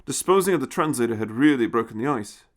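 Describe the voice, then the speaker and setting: A man's voice: mid-range voice, animated, fast. A man reading a scripted science fiction story as its narrator.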